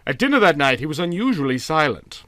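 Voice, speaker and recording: A man narrating a literary story from a script in a dramatic, expressive storytelling manner. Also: fairly high pitch, fast talker, no echo, clean studio sound. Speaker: audiobook narrator.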